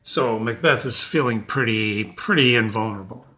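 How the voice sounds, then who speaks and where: A man's voice: on the low side, normal speed, sharp tone. A man hosting a dramatic reading, explaining it conversationally and checking in with rhetorical questions. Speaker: host and reader.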